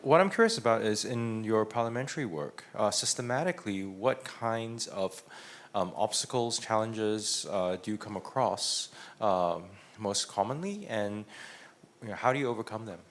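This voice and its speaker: Halting man asking a question. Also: fairly low pitch. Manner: halting, many fillers. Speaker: audience member. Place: conference room.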